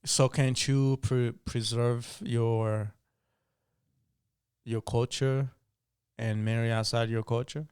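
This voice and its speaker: Burundian man, smooth resonant voice, probing and questioning, dry close mic. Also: mid-range voice, flat delivery, slow, pauses often, a little mellow, no room sound. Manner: probing, questioning, laughs easily. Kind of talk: casual podcast debate.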